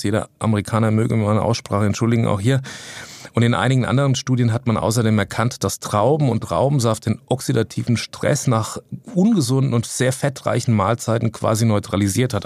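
A man's voice: on the low side, darkish tone, dry recording. A man, a nutrition scientist, explaining health facts in a podcast conversation with frequent "äh" fillers and small self-corrections, now and then adding a light joke.

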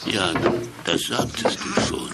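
A man's voice: slow, natural voice. An actor playing a patient, weary male companion in a children's radio play, hesitant and pleading. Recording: noisy played clip.

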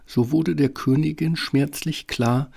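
A male storyteller narrates a scripted fairy tale. His voice is husky and gravelly, sitting at a middle pitch. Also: fairly even intonation, a little slow, a little mellow.

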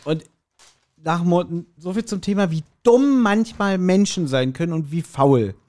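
A man chatting casually as a podcast host, telling anecdotes in an animated way and acting out quoted remarks. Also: high voice, a little slow. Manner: animated storytelling, laughs readily, acts out quoted remarks.

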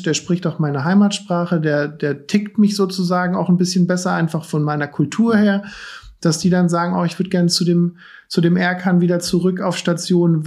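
Man, podcast host, higher pitch, chatty, casual questions. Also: a little mellow. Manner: chatty, long friendly questions. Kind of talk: casual interview chat.

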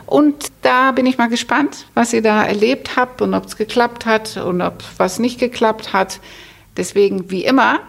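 Chatty podcast host woman with gravelly voice. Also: a little high, measured pace. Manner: chatty, enthusiastic. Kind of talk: casual interview hosting. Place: new podcast studio with some reverb.

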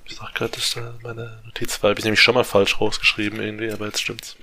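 A man's voice: on the low side, monotone, fast, sharp tone. A man on a casual podcast, recapping a book chapter in a rambling way with many fillers and restarts. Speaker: podcast co-host summarizing a book.

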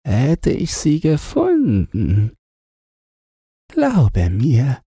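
A man voicing a frail, dying hunger artist in an audiobook, meek and pleading, with a thin, weak, whispery voice and halting speech. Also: medium pitch, huge pitch swings, warm tone, slightly rough, no echo, studio quality, pitched above the performer's own voice, full character voice. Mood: faint, earnest.

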